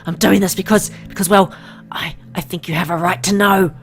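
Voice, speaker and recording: A woman's voice: fairly low pitch, fairly even intonation, a little fast, faint music behind, close to an everyday voice. A woman voicing a frank, secretive medical insider in a visual novel, explaining in an earnest, conspiratorial way. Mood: frank, urgent.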